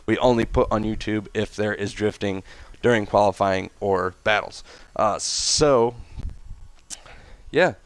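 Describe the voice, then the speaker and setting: A man hosting a racing game league livestream, talking casually with relaxed chuckles and rambling asides. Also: lowish voice, measured pace, clean tone, no room sound, rough recording. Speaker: league host streaming a game event.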